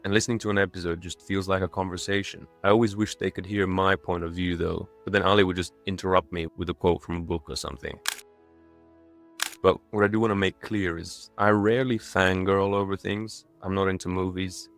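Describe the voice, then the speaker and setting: Low-voiced young man narrating over music. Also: steady tone, brisk, a bit bright. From born and raised in Dubai in a Croatian family, moved to England as a child. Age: 20s. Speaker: video creator. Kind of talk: scripted personal narration.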